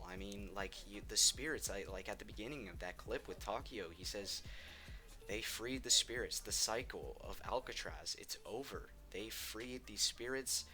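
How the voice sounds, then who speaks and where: A man's voice: fairly low pitch, bright, forceful tone. A man giving casual, opinionated countdown commentary on video games, enthusiastic and emphatic, repeating words for stress. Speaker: video game ranking host.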